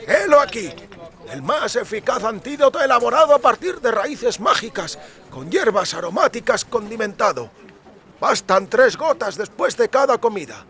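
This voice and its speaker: A man's voice: very high voice, sing-song, normal speed, sharp tone, voice pushed up, exaggerated voice. A man voicing an eager, chatty amulet merchant with effusive, wheedling sales patter in an audiobook. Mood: eager, confiding. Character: eager, chatty male amulet merchant peddling quack remedies. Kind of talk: audiobook character acting.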